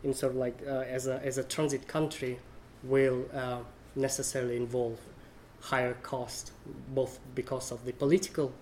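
A man's voice: medium pitch, flat delivery, darkish tone. Male panelist, hesitant delivery, middle-range voice, faint background hiss. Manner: halting, searching for words. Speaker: panel speaker. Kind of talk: academic panel talk.

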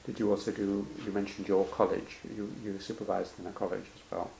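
A male interviewer with a very deep, gravelly voice who murmurs hmm often and asks probing follow-up questions. The recording is roomy, with a faint hiss in the background. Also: little pitch movement, brisk, warm tone. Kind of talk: oral history interview.

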